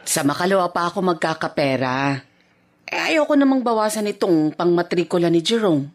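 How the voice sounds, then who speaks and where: A woman's voice: lowish voice, lively intonation, normal speed, natural voice. A woman playing a worried middle-aged mother and long-suffering wife in a Filipino radio drama, with a Filipino accent. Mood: dismayed, reluctant.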